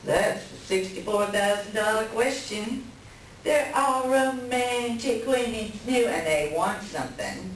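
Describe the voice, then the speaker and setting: A woman's voice: on the high side, measured pace, lightly acted. A woman playing a world-weary, blunt mother fed up with her husband in a folksy play reading. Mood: jaded, resigned. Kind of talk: staged play reading, conversational dialogue. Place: library reader's theater reading. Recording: echoey room from far off, faint noise.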